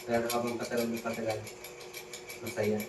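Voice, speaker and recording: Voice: mid-range voice, completely flat delivery, a little fast, far from the mic, close to an everyday voice. Student acting as troubled kid in a school play, pleading n brooding, echoey noisy hall. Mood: brooding, weary. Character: abused, troubled youth Alex, pleading then brooding. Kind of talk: amateur student stage acting.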